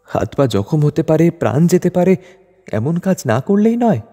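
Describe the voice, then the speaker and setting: Casting: pleading young woman voiced by a man for audio story, breathy and dark, long reverb. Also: fairly high pitch, sing-song, moderate pace, soft background music, voice pushed up, exaggerated voice. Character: Maya, anxious young woman, pleading and insistent. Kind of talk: dramatised audio story dialogue.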